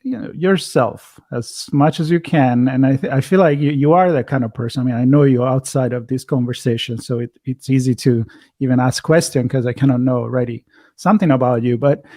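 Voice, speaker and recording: A man's voice: medium pitch, fairly quick, mellow, dry recording, studio quality. A man with an Italian accent, a podcast host chatting casually in a musing, loosely phrased way. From Italy. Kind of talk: casual conversational interview.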